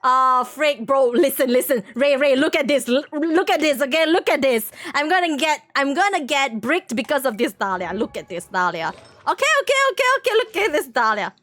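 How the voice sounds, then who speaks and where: Very high, animated woman streaming a game. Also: dry recording. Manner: excitable, giggly, repeats phrases rapidly. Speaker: video game livestreamer. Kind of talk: casual live gaming commentary.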